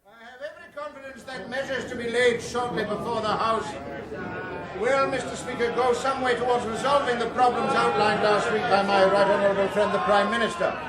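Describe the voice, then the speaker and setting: An actor playing a pompous government minister, orating in measured, declamatory style in a radio drama. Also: male voice, extremely high pitch, fairly even intonation. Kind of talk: radio drama acting as parliamentary speech. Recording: echoing chamber with loud crowd.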